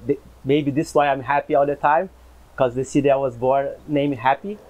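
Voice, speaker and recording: Brazilian man, coach type, upbeat, halting delivery, dull recording. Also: mid-range voice, steady tone, darkish tone. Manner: upbeat, halting with many fillers. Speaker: football coach. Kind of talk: interview answers. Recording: slightly dull, lo-fi sound.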